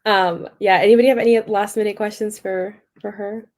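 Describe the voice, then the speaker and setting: A woman's voice: medium pitch, steady tone, brisk. An upbeat woman hosting a conference session over a video call. She keeps things casual and chatty, with easy laughter between prompts. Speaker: session moderator. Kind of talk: casual moderating.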